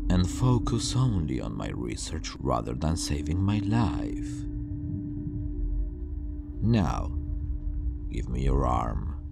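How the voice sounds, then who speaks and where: A man playing a calm, self-assured superhuman villain scientist who disdains humanity, speaking in a hushed, grandiose, deliberate way in an ASMR roleplay. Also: very deep voice, gravelly, background noise, exaggerated voice. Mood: grandiose, solemn. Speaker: ASMR voice actor.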